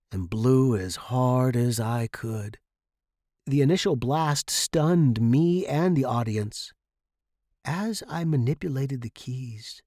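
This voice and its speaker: A male narrator tells a first-person short story in a middle-pitched voice. The reading is expressive and scripted, recorded dry without room sound. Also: no echo.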